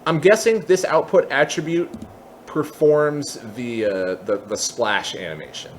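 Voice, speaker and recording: A man presenting an unscripted software tutorial, explaining step by step and thinking aloud. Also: fairly high pitch, lively intonation, a little slow, slight background noise. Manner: explanatory, thinking aloud, openly unsure at times.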